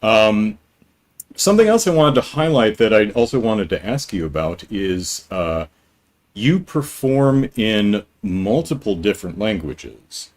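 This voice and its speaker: Male podcast host, very low voice, casual, meandering. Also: very animated, a little slow. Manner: rambling, many ums and restarts. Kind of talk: casual live-stream interview.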